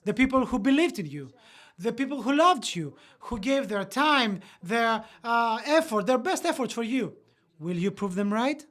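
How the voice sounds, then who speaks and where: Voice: mid-range voice, expressive, normal speed, a bit bright. A speaker giving an earnest motivational talk as a voice-over interpreter, with hesitant fillers and restarts.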